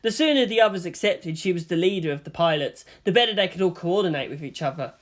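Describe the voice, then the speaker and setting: A man reading fan fiction aloud as an expressive audiobook narrator. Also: high-pitched, fast talker.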